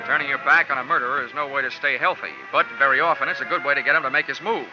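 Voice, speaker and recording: A man's voice: medium pitch, steady tone, rapid-fire, lightly acted. A man playing a wry, tough, unruffled insurance investigator, narrating and acting in old-time radio detective style. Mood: wry narration. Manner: wry, hard-boiled, unruffled. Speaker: lead actor and narrator. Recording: dull old radio recording with music.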